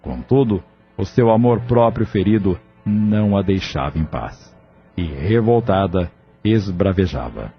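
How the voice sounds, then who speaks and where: Male narrator, deep-leaning voice, steady solemn storytelling, lo-fi sound. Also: measured pace, faint music behind. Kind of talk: scripted audiobook narration.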